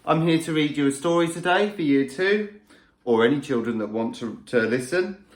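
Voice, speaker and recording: A male primary school teacher reading a rhyming picture book aloud to children in a playful, lively way. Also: on the high side, moderate pace, echoey room.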